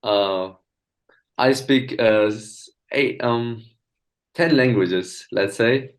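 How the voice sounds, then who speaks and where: A young man from Turkey, a native speaker of Azerbaijani and Turkish, talking as an interview guest with hesitant pauses and fillers. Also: mid-range voice. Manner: hesitant, many fillers and restarts. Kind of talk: casual video-call interview.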